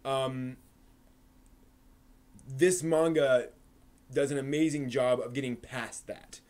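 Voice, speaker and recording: A man with a mid-pitched voice speaks as a live stream host. He talks informally through a review, backing up to reword his points as he goes. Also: fairly even intonation, takes it slowly, darkish tone. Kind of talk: casual live review monologue.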